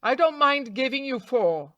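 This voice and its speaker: A woman's voice: high-pitched, animated, normal speed, fairly bright tone, no echo, lo-fi sound, voice pushed up. A woman voicing a little male sparrow in a storybook, an insistent, pert haggler. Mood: bargaining. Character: male talking sparrow, eager little haggler turning fierce.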